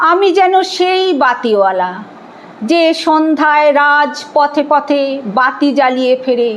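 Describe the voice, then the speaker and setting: A woman reciting poetry in an expressive, dramatic style, with measured, deliberate phrasing and pauses. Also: high voice, a little hiss or hum, low-quality recording.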